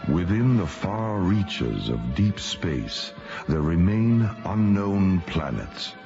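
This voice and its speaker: A deep male announcer narrates radio drama with grave, measured weight. His delivery swings expressively, set under loud music on a muffled recording. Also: very animated.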